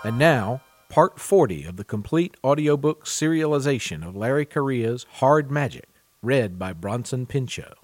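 A man's voice: medium pitch, animated, moderate pace, darkish tone, soft background music. A man hosting a book podcast, moving between interview chat and scripted announcements. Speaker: podcast host, publisher.

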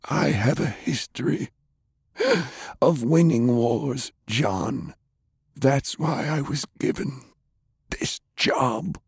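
A man voicing a ruthless, ailing old general in an audiobook, with a breathy, strained, grave and deliberate delivery. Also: medium pitch, no room sound, clean studio sound, voice pushed up, heavily acted. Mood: grim, insistent. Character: ruthless old male general, sickly war leader.

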